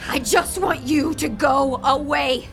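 A young woman with a high voice plays a haunted figure in a horror radio play. She takes her words slowly and with dramatic intensity, over prominent music. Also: gravelly, fairly bright tone, exaggerated voice. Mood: bitter, confronting. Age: young adult. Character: haunted young woman artist, channelling a murdered high school girl. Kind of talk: horror audio drama acting.

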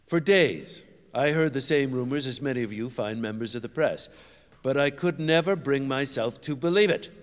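An actor playing a smug, scheming senator in audio fiction, pompous and needling like a practised orator. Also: male voice, medium pitch, normal speed, big-room sound, natural voice. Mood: outraged oratory. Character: smug, scheming senator, mocking political rival.

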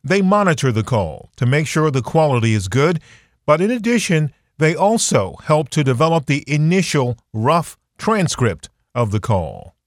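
A man hosting a podcast, reading scripted news narration in a measured explanatory way. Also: a little high, big pitch swings, dry recording, clean studio sound. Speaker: podcast host.

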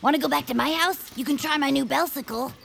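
A woman voicing a plucky, easygoing inventor and adventurer with a gravelly voice and plainspoken manner in a comedy audio drama. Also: sits high, fast talker, a bit bright, a little hiss or hum, heavily acted. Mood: unimpressed. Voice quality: very creaky, gravelly. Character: plucky, laid-back inventor and adventurer Commander Hatch.

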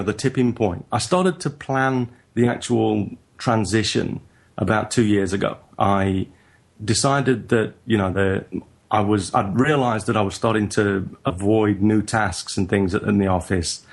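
A man with a northern English accent and a fairly low voice talks through a casual interview. He speaks in a halting, reflective way and often restarts his sentences. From northern England. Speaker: guest photographer.

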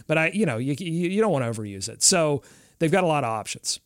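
A man's voice: fairly high pitch, expressive, quick pace, dry recording, studio quality. A man co-hosting a sports podcast in casual discussion, conversationally thinking aloud as he talks.

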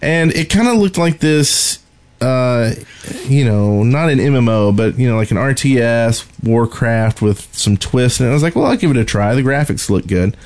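A man talks as a co-host on a casual gaming podcast. His pitch is average for a man, and he speaks in an informal, rambling way, feeling his way through each thought. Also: animated, moderate pace, darkish tone.

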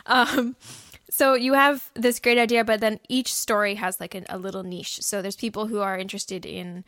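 A woman's voice: medium pitch, fairly even intonation, moderate pace, a bit bright, no echo. Woman co-host, very dry close sound. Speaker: podcast co-host and audio drama writer. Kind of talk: casual explanatory podcast chat.

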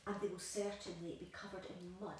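A woman's voice: fairly low pitch, flat delivery, fairly quick, a little mellow, close to an everyday voice. A woman playing an anxious, controlling young mother and wife in an audio play, insistent and fussing. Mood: exasperated. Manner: insistent, nagging, doting on her baby. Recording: very echoey room, distant, faint hiss.

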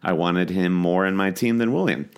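A very low-voiced man co-hosts a casual podcast. He talks in a measured, matter-of-fact way, as if he is thinking the points through as he goes. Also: smooth. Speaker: podcast co-host.

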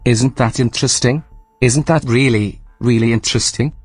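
An actor playing a controlling artificial intelligence in a science-fiction audio drama, speaking deliberately with polite, sardonic menace. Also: male voice, mid-range voice, slightly rough, no echo, faint music behind, natural voice.

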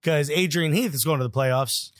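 A man's voice: a little high, normal speed, no echo, studio quality. A man with a Cuban accent co-hosting a casual soccer podcast, joking and laughing often. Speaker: podcast co-host.

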